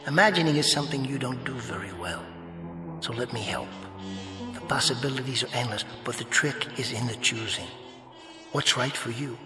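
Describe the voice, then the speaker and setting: An actor playing an eloquent, sardonic spirit of fate in a radio drama, speaking in grandiose, wordy phrases. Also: male voice, mid-range voice, moderate pace, natural voice. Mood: sardonic, ominous. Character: eloquent, mocking male spirit of fate and conscience. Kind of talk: vintage-style radio drama acting. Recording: reverberant hall-like echo effect, faint music.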